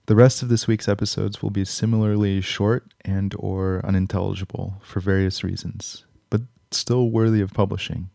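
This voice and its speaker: Low, bright-voiced male podcast host with some creak. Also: flat delivery, a little slow, hardly any pauses, no echo, studio quality. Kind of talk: scripted podcast narration.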